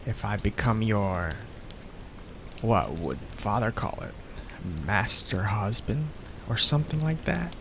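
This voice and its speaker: A man plays an awkward, devoted young mafia heir in an audio roleplay, slow and gravelly, his pitch rising and dipping a lot as he fumbles for words. Steady rain patters clearly behind him. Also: noisy background, exaggerated voice. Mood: sheepish. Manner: hesitant and sheepish, with fillers and restarts. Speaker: ASMR roleplay voice actor. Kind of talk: ASMR roleplay.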